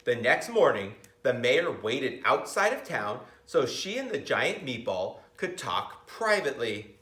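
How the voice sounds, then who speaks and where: A middle-aged man reading a picture book aloud expressively, pausing to comment and ask his listeners questions, recorded in a school library. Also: mid-range voice, very animated, a bit bright. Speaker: school reader of picture books to children. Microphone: camera a few metres away. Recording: roomy library, camera at a distance.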